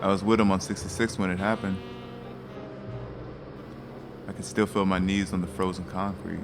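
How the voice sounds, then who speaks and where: A man's voice: sits low, level tone, fast, music in the background. A man playing a weary, doubtful old prizefighter. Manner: subdued, unsure. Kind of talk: audio drama acting.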